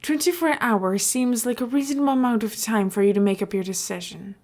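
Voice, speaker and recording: A woman reading the part of a calculating male mayor and would-be villain in a story, persuasive, measured and businesslike. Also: on the high side, a little mellow.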